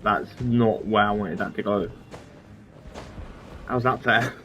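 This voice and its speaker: A man's voice: fairly low pitch, fairly even intonation, takes it slowly, a bit bright. A man streaming a game, thinking aloud in a hesitant, muttering way as casual commentary. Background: game music.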